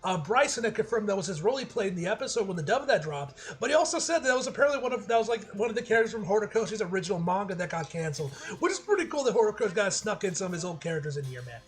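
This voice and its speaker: A man giving a casual, rambling episode review as an excitable fan, with slangy, profane talk. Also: sits high, very fast, hardly any pauses. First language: English. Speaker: anime reviewer.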